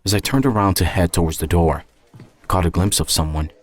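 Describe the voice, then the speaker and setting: A man with a low voice narrates a short story in the first person. He is measured and reflective, and the sound is very dry. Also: no echo. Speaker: narrator and author.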